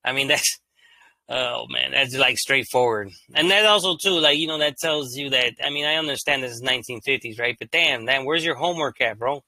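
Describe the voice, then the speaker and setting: Brief: male host, bright and sharp tone, reads legal text flat then drops into loose slang asides, monotone by his own account. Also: medium pitch, fairly quick, no echo. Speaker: channel host reading and commenting on court cases. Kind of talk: casual read-aloud commentary.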